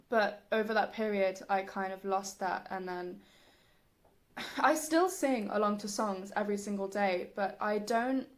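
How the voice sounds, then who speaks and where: Young adult female, soft and breathy, slow halting delivery, medium pitch, reflective personal vlog monologue. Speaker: singer, video creator.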